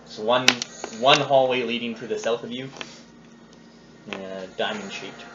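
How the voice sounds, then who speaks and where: A man running a tabletop game as game master, describing scenes off the cuff with halting pauses. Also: mid-range voice. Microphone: phone recording the group. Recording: noisy muffled phone recording in echoey room.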